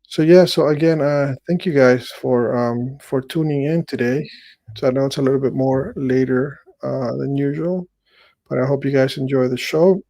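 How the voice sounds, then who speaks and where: A man's voice: mid-range voice, normal speed, mellow, dry recording. A middle-aged man from Chile, hosting a show, who reads an article aloud and then comments casually with many fillers and restarts. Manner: hesitant, with frequent fillers and restarts. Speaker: host.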